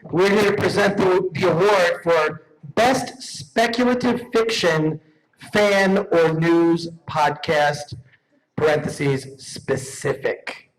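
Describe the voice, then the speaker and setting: A man's voice: sits high, slow. A man presenting awards live on stage in a jokey, profane, emphatic comedic manner. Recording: noisy live event, clicks.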